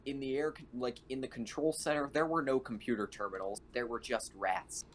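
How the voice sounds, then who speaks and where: A man roleplaying casually at a tabletop game in a low-energy way. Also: steady tone, fairly quick. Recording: background noise, keyboard typing.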